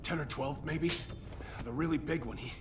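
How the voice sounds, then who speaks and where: A man playing a frightened hostage in a video game, nervous and halting. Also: fairly high pitch, noisy background, lightly acted. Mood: shaken. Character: frightened male hostage, rattled and pleading.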